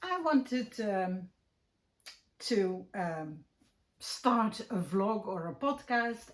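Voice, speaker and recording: A woman with a Dutch accent chatting casually about her crochet projects at home, with lots of ums and restarts. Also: medium pitch. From Netherlands. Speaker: crochet vlogger. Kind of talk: casual chat while showing crafts.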